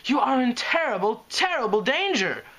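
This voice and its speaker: A reader voicing a frantic adult unicorn mare who speaks in a shrill, tremulous, melodramatic way. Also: sing-song, unhurried, fairly bright tone, full character voice, low-quality recording. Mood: fearful.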